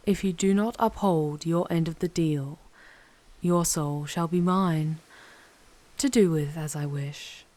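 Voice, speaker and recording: A woman voicing a seductive, proud, ancient female demon in an ASMR audio roleplay, speaking formally and deliberately with a teasing, commanding charm. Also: deep voice, mellow. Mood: bargaining, solemn.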